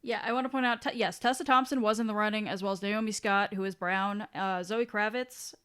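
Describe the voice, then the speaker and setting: Casual woman podcast co-host, medium pitch. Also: steady tone, brisk, a bit bright, clear, resonant tone. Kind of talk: casual chatty discussion.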